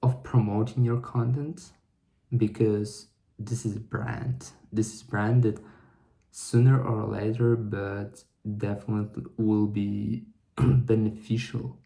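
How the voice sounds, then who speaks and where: A young man muses slowly to camera, stopping and restarting as he works through his thoughts. His voice is mellow and gravelly, with a low pitch. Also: level tone. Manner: halting, thinking aloud with many restarts. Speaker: vlogger. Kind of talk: reflective solo vlog monologue.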